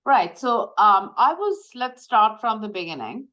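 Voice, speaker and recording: A woman in her fifties from India, a medical device executive, talking through her career in a measured, reflective way with frequent pauses and fillers. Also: medium pitch, very animated, sharp tone. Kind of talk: conversational interview.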